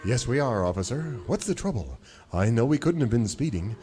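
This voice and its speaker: Man playing a earnest cowboy, lively intonation. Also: mid-range voice, fairly quick, close to an everyday voice. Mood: earnest, polite. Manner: earnest, wholesome, courteous. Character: upright, polite male singing cowboy leader. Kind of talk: parody of old-time radio serial.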